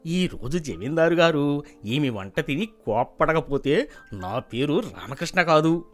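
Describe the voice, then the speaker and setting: A man voicing a jealous, scheming servant in an animated moral story, spiteful and insinuating. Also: a little high, unhurried, soft background music.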